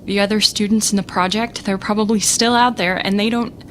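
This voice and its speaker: A woman playing a scared young student captain in an audio drama, self-doubting but determined, speaking in halting bursts. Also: medium pitch, fairly even intonation, a bit bright, a little hiss or hum, lightly acted. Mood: stunned, anxious. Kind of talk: audio drama monologue as a recorded message.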